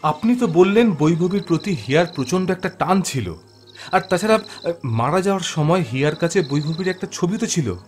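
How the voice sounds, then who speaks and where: An actor playing a confident young paranormal investigator in an audio horror drama, explaining things gravely and deliberately. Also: male voice, sits high, expressive, soft background music. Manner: grave, deliberate explaining, mixes in English words.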